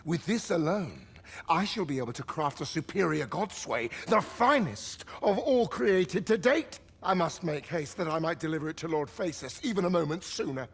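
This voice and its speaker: An actor playing a haughty, excitable, obsessive inventor in a video game cutscene, speaking theatrically in archaic phrases. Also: male voice, fairly high pitch, normal speed, slightly rough. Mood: awed, excited. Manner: theatrical, archaic diction, swinging from muttering to exclaiming. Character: obsessive, haughty, excitable male scholar-inventor of magical devices.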